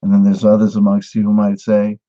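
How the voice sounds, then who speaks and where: A man giving a satirical mock lesson, with a mock-earnest pitchman delivery. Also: completely flat delivery, fairly quick, warm tone, highs cut off. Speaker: mock instructor. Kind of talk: satirical monologue.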